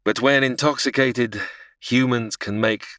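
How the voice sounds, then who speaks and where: A woman's voice: very deep voice, fairly even intonation, unhurried, a bit bright, no room sound, clean studio sound. A woman playing a stern male superintendent of angels in an audio comedy, formal and impatient. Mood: patient narrating, stern.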